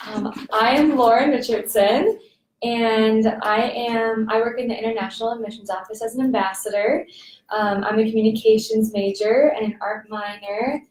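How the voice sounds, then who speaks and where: Young woman hosting, slightly high voice. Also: steady tone, moderate pace, a little mellow. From Fargo, North Dakota. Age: young adult. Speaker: panel host, admissions ambassador. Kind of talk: hosting a student panel. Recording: echoey room.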